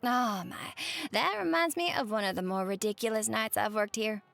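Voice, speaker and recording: A woman playing a cheerful, chatty waitress on a diner night shift in a roleplay audio, with a Southern US accent and a folksy, expressive manner. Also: medium pitch, moderate pace, creaky, soft background music. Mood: chatty, amused. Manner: folksy, expressive, uses pet names. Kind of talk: roleplay monologue to the listener, chatty storytelling.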